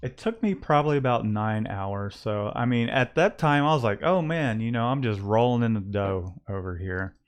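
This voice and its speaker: A man hosts a relaxed livestream about running his print shop. He talks in a loose, rambling way, stopping and restarting his sentences and filling the gaps with "uh". His voice sits at an ordinary middle pitch. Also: moderate pace, hardly any pauses, smooth. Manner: rambling, with many restarts and fillers. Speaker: host and screen-print shop owner. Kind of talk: casual livestream chat.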